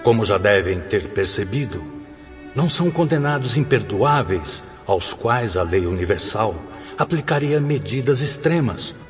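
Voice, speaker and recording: An actor playing a grave spirit instructor in a radio drama, speaking in a measured, oratorical way. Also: male voice, on the low side, lively intonation. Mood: solemn, reassuring. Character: Sóstenes, solemn male spirit instructor addressing penitent souls. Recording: dull reverberant recording with music.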